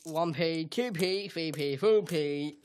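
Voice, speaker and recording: A man acts a bored, reluctant young guy in a radio play. He grumbles at an unhurried pace, with faint noise behind him. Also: a little high. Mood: grudging. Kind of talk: amateur radio play acting.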